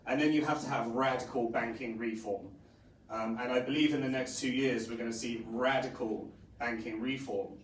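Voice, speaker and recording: A hoarse, middle-aged man speaks from a conference stage, pausing on fillers as he talks. He sits at an ordinary pitch, and his voice carries across a roomy hall, picked up from some distance with a faint hiss behind it. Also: steady tone. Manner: rambling with many fillers. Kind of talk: conference talk. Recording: echoey hall, distant mic, faint noise.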